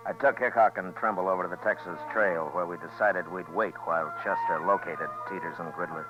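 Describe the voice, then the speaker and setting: An American actor playing a steady, authoritative frontier marshal who also narrates a 1950s radio western. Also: male voice, low-pitched, a little fast, natural voice. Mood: terse narration. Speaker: lead actor and first-person narrator. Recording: dull old radio recording, music.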